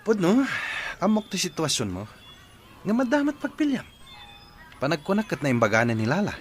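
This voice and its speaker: A man playing a frank, sympathetic best friend, expressive in an Ilocano radio drama. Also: high voice, normal speed. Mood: sympathetic, concerned. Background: music.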